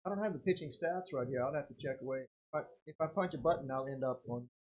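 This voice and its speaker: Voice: medium pitch, quick pace, a little mellow, muffled recording. A commentator calling a live baseball game in a casual, folksy, conversational way, with short exclamations between bits of chat.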